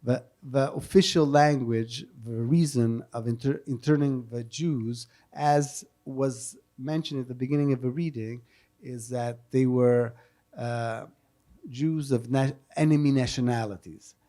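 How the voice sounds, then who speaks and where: A man's voice: mid-range voice, steady tone, measured pace, mellow. A man moderating a public panel, explaining and translating off the cuff, carefully and with repeated self-corrections. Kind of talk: spontaneous panel answers and spoken translation. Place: live public event with audience questions.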